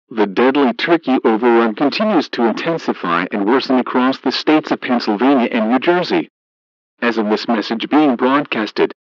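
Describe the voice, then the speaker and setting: A man's voice: mid-range voice, no echo. Dull, lo-fi male emergency alert announcer. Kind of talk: scripted emergency broadcast announcement. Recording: dull lo-fi emergency radio broadcast.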